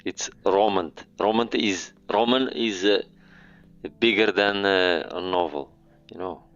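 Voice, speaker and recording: Guest: man, deep low voice, slow halting English, dull call audio. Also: a bit bright, clear, resonant tone, no room sound. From Morocco. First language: Amazigh. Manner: halting, searching for English words. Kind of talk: casual chat.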